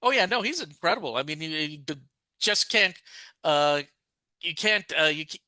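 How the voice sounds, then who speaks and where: A man with a bright, ringing tone and a smooth, clear voice chats on a podcast. He talks on and on, restarting sentences as he goes. The sound is close and dry. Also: fairly high pitch, animated, normal speed, no room sound. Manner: talkative, restarts sentences often. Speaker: podcast co-host. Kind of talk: casual chat.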